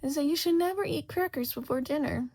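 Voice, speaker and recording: A woman's voice: high-pitched, a little fast, pitched above the performer's own voice. A woman voicing an anxious young storybook Duchess, by turns prim and urgent. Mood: prim, loud. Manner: clingy, switches between prim loud and urgent hushed.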